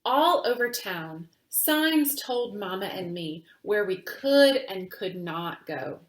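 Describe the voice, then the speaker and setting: A woman reading a children's book aloud in a deliberate, teacherly way, pausing to speak to young listeners. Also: mid-range voice, expressive, roomy sound. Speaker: reader of children's books for a virtual summer camp. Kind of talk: read-aloud storytelling with asides to children.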